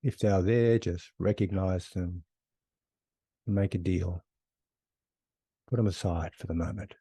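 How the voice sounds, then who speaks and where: A man leading a guided meditation as a teacher, unhurried, giving one short phrase at a time with long pauses. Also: low voice, dark tone, slightly rough, no room sound, studio quality.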